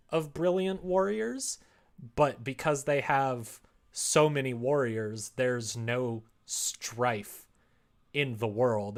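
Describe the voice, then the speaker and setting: A man livestreaming his development work, thinking aloud casually and muttering to himself in short fragments. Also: medium pitch. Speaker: livestreamer developing a visual novel.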